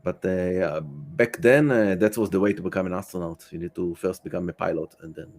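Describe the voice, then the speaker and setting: Male guest, deep voice, matter-of-fact and halting in an informal interview. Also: darkish tone. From Israel. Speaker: podcast guest. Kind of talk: casual interview answers.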